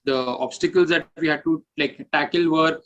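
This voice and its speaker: A man's voice: a little high, fairly even intonation, moderate pace, fairly bright tone, muffled recording. A man in a casual interview chat, speaking informally and repeating phrases for emphasis. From India. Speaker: metal musician, interview guest.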